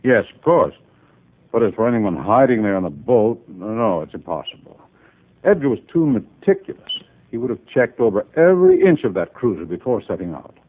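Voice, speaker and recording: A man's voice: fairly low pitch, big pitch swings, brisk. An actor playing a proper, defensive businessman who is easily affronted, in formal old-time radio style. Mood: earnest, insistent. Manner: formal, indignant. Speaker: supporting actor in a radio drama. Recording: tinny, band-limited old radio recording.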